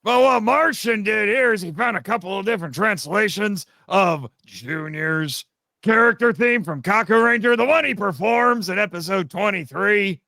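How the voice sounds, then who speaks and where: High, bright-voiced man with teasing banter. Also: expressive, normal speed, vocal fry, dry recording, clean studio sound. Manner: teasing, mock-condescending. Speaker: podcast guest, fan audio drama writer and voice actor. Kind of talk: casual banter explaining trivia.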